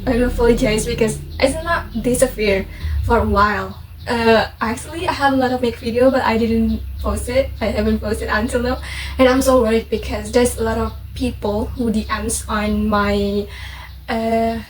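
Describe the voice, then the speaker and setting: A young Indonesian woman with an Indonesian accent, chatting casually in a vlog, full of fillers and self-corrections. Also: a little high. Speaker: vlogger. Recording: distant mic with faint background noise.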